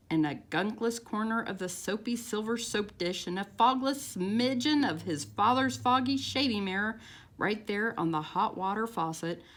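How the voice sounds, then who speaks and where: A woman reading a picture book aloud to young children in a theatrical, expressive storytime style with drawn-out words and sound effects. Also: medium pitch.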